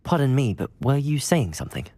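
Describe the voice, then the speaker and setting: An actor playing a polite, composed young student wizard in a video game, speaking with a British accent and courteous restraint. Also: male voice, mid-range voice, very animated, brisk, dark tone.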